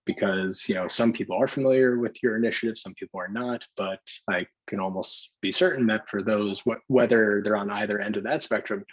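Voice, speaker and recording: A man's voice: on the low side, brisk, few pauses, lo-fi sound. A man hosting an online discussion in a conversational, somewhat wordy and roundabout way. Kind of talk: conversational event moderating.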